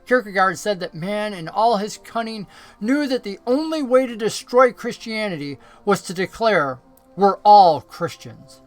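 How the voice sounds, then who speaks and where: A man reading a Christmas story aloud as an expressive scripted narration. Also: sits high, normal speed, soft background music. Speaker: story reader, pastor.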